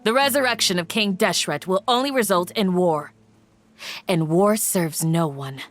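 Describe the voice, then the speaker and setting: Lowish-voiced, commanding guardian woman played by an actress. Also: normal speed, close to an everyday voice. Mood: controlled anger. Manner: formal, composed, commanding. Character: stern, righteous desert village guardian woman, controlled anger. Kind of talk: video game voice acting.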